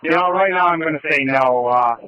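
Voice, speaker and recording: A man, a police officer in the field, giving halting, informal status updates over a police radio. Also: mid-range voice, pushed and bright. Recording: dull police radio scanner audio.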